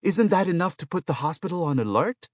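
A man's voice: medium pitch, moderate pace, no room sound, clean studio sound, pitched above the performer's own voice, lightly acted. A man voicing an anxious, indignant male hospital patient in an audiobook story, pleading and complaining. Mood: agitated protest.

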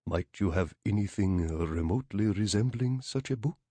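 A man acts out a cultured Egyptian gentleman in an audiobook story, low and husky with a rich Arabic lilt. He speaks formally and politely, in measured phrases that rise and fall freely. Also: expressive, dry recording. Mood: amused, courteous. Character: courteous, cultured Egyptian male book seeker.

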